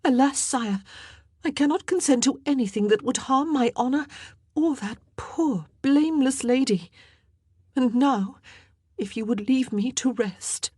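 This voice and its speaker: A woman's voice: high-pitched, unhurried, mellow, husky, dry recording, clean studio sound, voice pushed up. A woman voicing a proud, distraught young queen who pleads and cries out in indignation. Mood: pleading.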